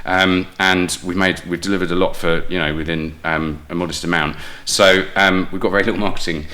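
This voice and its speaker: A man's voice: sits low, fairly even intonation, fairly quick, bright, forceful tone. A British man chatting casually as a guest, informal and matey in his manner. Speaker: podcast guest, playwright. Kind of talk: casual chat.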